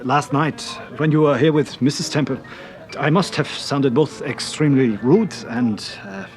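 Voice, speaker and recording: A man playing a deferential, nervous young cafe owner in a radio drama, halting and apologetic. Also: on the high side, faint music behind. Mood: anxious, apologetic. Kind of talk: British radio serial acting.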